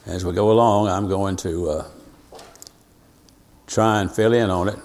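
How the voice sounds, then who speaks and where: Older male preacher, lowish voice, informal and meandering Bible teaching with frequent asides. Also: fairly even intonation, a little mellow. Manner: rambling, folksy, halting, full of asides and self-told anecdotes. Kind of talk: informal sermon to a congregation.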